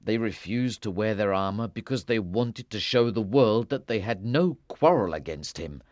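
A man's voice: lowish voice, animated, moderate pace, darkish tone, no room sound, lightly acted. An actor playing a proud, wronged king in a dramatised storybook, with a solemn declamatory delivery. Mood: troubled, grave. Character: grieving, betrayed king, proud and wrathful.

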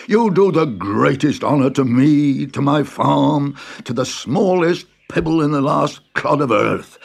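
An old peasant farmer, anxious and fawning, drawn out slowly in a gravelly, husky voice, as a male storyteller puts him on. Faint music plays under the reading. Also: medium pitch, full character voice. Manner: fawning, fretful.

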